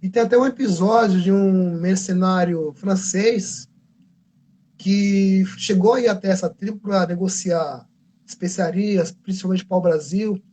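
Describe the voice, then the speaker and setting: Brazilian male artist, higher-pitched, warm and breathy, rambling livestream storyteller. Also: measured pace, a little hiss or hum. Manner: rambling, self-correcting storyteller. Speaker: comic book artist. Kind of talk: casual livestream talk. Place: convention livestream.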